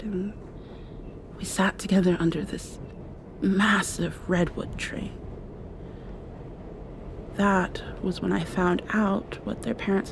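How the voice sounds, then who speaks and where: A woman playing a shy, tender young girlfriend in an intimate ASMR roleplay, speaking softly and haltingly and often slipping into a whisper. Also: medium pitch, background noise. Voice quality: soft, breathy, often dropping to a whisper. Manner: hesitant, halting, confiding. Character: shy, tender young mosquito girl confessing past heartbreak to girlfriend.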